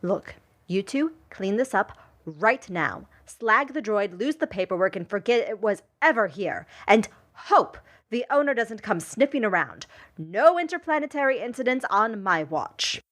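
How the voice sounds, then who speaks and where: A woman's voice: mid-range voice, very animated, unhurried, fairly bright tone. A woman voicing a stern, impatient Imperial commander who scolds her troops in a tabletop role-play. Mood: scolding. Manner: scolding, exasperated, clipped orders.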